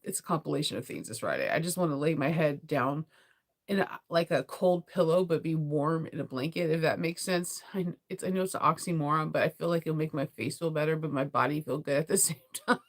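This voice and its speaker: A middle-aged American woman with a congested, cold-affected voice, chatting casually and ramblingly as a sewing vlogger on a livestream. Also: fairly quick. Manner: rambling, giggly, conversational; sleepy, low energy.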